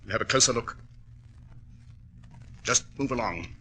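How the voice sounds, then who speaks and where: An actor playing a shrewd, composed English detective with a British accent and a commanding, precise, clipped delivery in 1940s radio style. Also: male voice, medium pitch, unhurried, bright, forceful tone, a little hiss or hum. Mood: alert, commanding. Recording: vintage 1940s radio recording.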